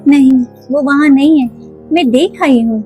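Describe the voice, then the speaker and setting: Woman as a high-voiced earnest little calf girl. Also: moderate pace, warm tone, faint music behind, close to an everyday voice. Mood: earnest, searching. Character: young calf girl searching earnestly for missing brother. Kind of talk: children's toy cartoon storytelling.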